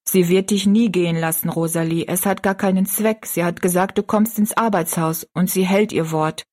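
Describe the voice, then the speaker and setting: A woman's voice: lowish voice, steady tone, moderate pace, a little mellow, close to an everyday voice. Woman voicing a worried, practical servant girl. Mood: doubtful, practical. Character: concerned, plain-spoken servant girl, loyal friend.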